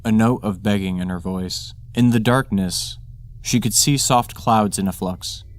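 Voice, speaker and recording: A man with a fairly low voice reads scripted podcast material as narrator and host. Music plays faintly underneath his words. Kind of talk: scripted horror fiction narration and podcast announcements.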